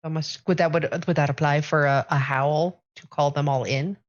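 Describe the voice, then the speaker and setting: A woman's voice: low voice, fairly even intonation, moderate pace, dull audio. A woman playing a tabletop game, plainly explaining her character's abilities and rules. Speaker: player.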